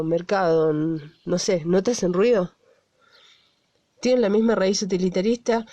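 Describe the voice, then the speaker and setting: A woman's voice: lowish voice, dark tone, no echo, dull audio, close to an everyday voice. A woman with an Argentine accent voicing an indignant activist in a conversational monologue, blunt and full of rhetorical questions. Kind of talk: conversational radio theatre monologue.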